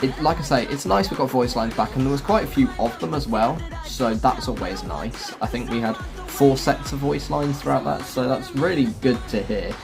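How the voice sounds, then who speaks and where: A man's voice: mid-range voice, moderate pace, hardly any pauses, music in the background. A man presenting a video game walkthrough, explaining things step by step to viewers in a chatty way. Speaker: gaming YouTuber presenting a quest guide. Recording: game sound clearly behind voice. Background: video game ambience and vehicle noise.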